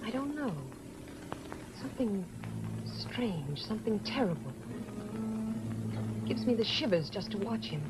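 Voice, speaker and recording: A woman's voice: medium pitch, very animated, unhurried, long pauses. Wry, uneasy female publicist, vintage suspense drama, very varied intonation, dramatic musing delivery. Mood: worried, uneasy. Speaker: theatrical press agent. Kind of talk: mid-century suspense drama acting. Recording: vintage broadcast with background music and noise.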